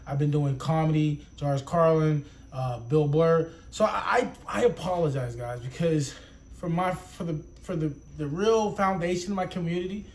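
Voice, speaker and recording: A young man with a slightly high voice hosts his reaction channel in a rambling, hyped way. He swears casually and leans on street slang as he talks to his audience. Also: moderate pace, a little mellow. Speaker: YouTube reaction host. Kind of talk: casual vlog intro.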